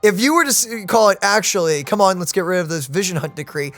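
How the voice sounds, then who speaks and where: A woman's voice: lowish voice, expressive, fast talker, fairly bright tone, faint music behind. A woman streaming a game and giving casual, conversational reaction commentary.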